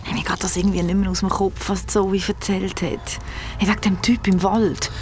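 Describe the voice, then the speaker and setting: A woman's voice: medium pitch, level tone, moderate pace, darkish tone, a little hiss or hum, natural voice. A woman playing a worried, insistent young woman in an audio drama, speaking with a Swiss German accent. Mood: anxious, urgent. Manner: insistent, questioning. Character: Steffi, worried, insistent young woman pressing her friends. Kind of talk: naturalistic audio drama dialogue.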